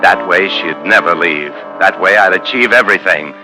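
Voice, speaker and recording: An actor playing an ambitious, tormented, possessive husband in a 1950s radio suspense drama, with brooding, intense, clipped delivery. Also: male voice, sits low, normal speed, natural voice, poor audio. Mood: cold, resolved. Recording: old 1950s radio recording with music.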